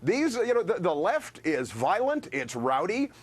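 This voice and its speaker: A man's voice: high voice, sing-song, unhurried, breathy edge. A male radio host arguing emphatically on a news panel. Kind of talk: panel debate.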